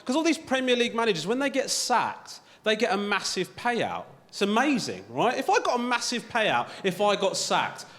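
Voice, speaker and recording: A man's voice: high-pitched, very animated, moderate pace, few pauses, fairly bright tone, big-room sound. A male stand-up comedian doing a conversational routine on a TV studio stage in front of a live audience, often tagging his lines with "right?".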